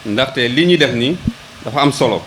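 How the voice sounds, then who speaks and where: A man with a Senegalese accent delivers a formal ceremony address in a medium-pitched voice. He feels his way through sentences, doubling words as he goes. A faint ambient hum sits behind the voice. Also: lively intonation, normal speed, a bit bright, rough recording. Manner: hesitant, repeating words, switching between Wolof and French. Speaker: local government official. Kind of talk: public ceremony speech.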